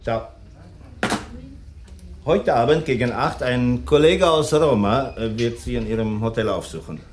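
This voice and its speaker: A man acting in a German radio drama as an officious Italian police captain, speaking in halting, foreign phrasing. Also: mid-range voice, noisy background, lightly acted. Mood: formal, polite.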